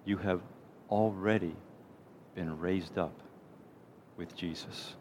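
Low-voiced man leading a gentle guided meditation. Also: steady tone. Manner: gentle, unhurried, soothing delivery with pauses. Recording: clear background noise, low rumble.

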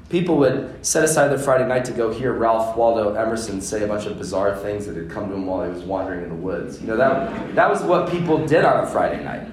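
A man's voice: lowish voice, slight background noise. Earnest man teaching parents. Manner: earnest, building to emphatic repetition. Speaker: seminar speaker on education. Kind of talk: workshop talk to parents. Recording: echoey room.

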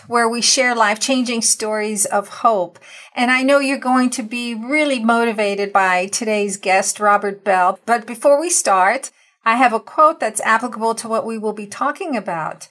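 A woman's voice: a little high, normal speed, a bit bright. A woman hosting an interview podcast, earnest and encouraging, reading her introductions and promos. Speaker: podcast host. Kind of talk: scripted intro then interview chat.

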